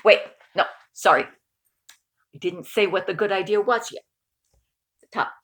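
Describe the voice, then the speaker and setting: A woman reading a children's picture book aloud in an expressive storytelling manner, with informal asides. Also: medium pitch, unhurried. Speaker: storybook reader.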